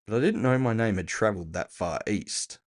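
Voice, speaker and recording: A man's voice: lowish voice, lively intonation, a little fast. A man reading scripted first-person narration for an audio fiction story.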